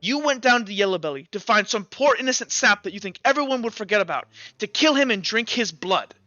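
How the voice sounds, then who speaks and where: A man playing a skeptical, methodical courtroom prosecutor who presses with halting, repeated questions in a tabletop roleplay. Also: high voice, expressive, sharp tone, dry recording, muffled recording. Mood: accusing, incredulous.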